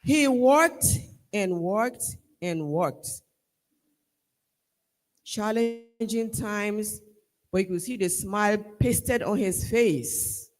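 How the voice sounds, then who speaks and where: A man with a Liberian accent delivers a formal vote of thanks in an emphatic, rallying manner. His voice sits high and he takes his time over each phrase. The sound rings with the long reverb of a large hall. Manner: measured, emphatic, rallying the audience. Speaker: ceremony speaker giving vote of thanks. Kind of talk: formal ceremonial speech. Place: large hall at a university ceremony.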